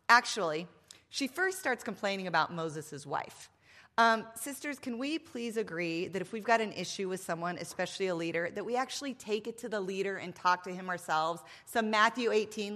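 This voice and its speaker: A woman's voice: mid-range voice, sharp tone. A woman in her fifties giving a faith talk to a live audience with a microphone, emphatic and playful, full of asides. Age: 50s. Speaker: speaker at a women's event. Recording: long reverb of a large hall.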